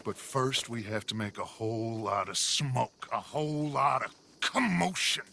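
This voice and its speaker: An actor playing a persuasive middle-aged American outlaw leader in a video game, speaking in a theatrical, commanding, oratorical way. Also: male voice, medium pitch, measured pace, creaky, sharp tone, a little hiss or hum. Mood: grand scheming. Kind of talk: video game cinematic acting.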